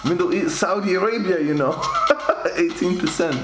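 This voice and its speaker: A man in his twenties chatting casually and excitedly to camera, often chuckling as he talks. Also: high-pitched, moderate pace. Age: 20s. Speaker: participant in a DNA test video. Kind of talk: casual reaction talk to camera. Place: small bare room, indoors, at a desk. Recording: roomy echo, faint background music.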